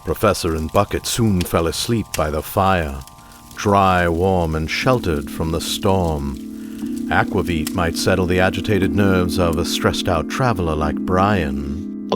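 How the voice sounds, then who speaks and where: A man playing a hearty, suave 1930s radio announcer, narrating and pitching a sponsor in scripted, salesmanlike style. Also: a little slow. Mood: smooth, cozy. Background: music.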